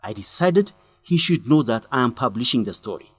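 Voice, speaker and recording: An actor playing an earnest male journalist in a radio drama, speaking expressively in simple, deliberate sentences. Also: medium pitch, expressive, husky. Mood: serious, recounting. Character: earnest young male journalist breaking bad news to a friend. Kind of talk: English-learning radio drama acting.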